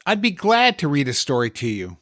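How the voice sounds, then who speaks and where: A man's voice: on the high side, wildly swooping pitch, brisk, a bit bright, no room sound. Man reading storybook style, dry studio sound. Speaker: podcast host. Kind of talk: scripted comedy dialogue and storybook reading.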